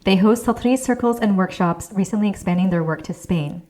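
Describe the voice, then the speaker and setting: A woman hosting a podcast, with a medium-pitched voice, reads the episode introduction. Her delivery is smooth and scripted. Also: brisk, warm tone. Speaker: podcast host. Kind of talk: reading a show introduction.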